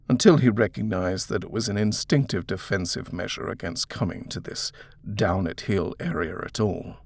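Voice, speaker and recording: A man with a husky voice narrating a scary story from a script in a dramatic reading style. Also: fairly low pitch, a little mellow, no echo. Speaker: audiobook narrator.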